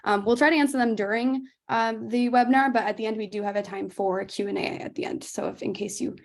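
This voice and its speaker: A woman's voice: fairly high pitch, brisk. A young woman hosting a video-call webinar in a chatty way, with frequent fillers and laughs. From United States. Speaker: webinar host.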